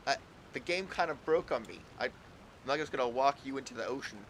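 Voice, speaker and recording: A man chatting casually as he livestreams a game, halting and repeating his words, with mild exclamations. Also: mid-range voice, animated, a bit bright, slight background noise. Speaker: game streamer. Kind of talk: casual livestream chat and commentary.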